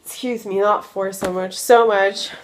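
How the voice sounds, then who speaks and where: A woman's voice: mid-range voice, fairly even intonation, a little slow, a little hiss or hum. A woman in her early twenties chatting casually in a vlog, drowsy from medication, rambling with lots of likes and ums. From United States. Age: 20s. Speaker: vlogger.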